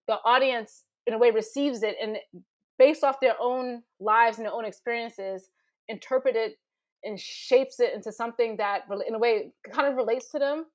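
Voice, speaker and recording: Woman, slightly high pitch, informal, halting, chatty panel talk. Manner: animated, halting restarts, many "like"s. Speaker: panelist, storyteller. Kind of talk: informal explanation in a panel discussion.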